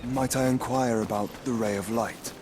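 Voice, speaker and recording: An actor playing a courtly, gently wry young nobleman in a fantasy video game, speaking with polite, formal curiosity. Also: mid-range voice, steady tone, normal speed, background noise, lightly acted. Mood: curious. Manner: polite, refined, formal phrasing. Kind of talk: video game cutscene acting.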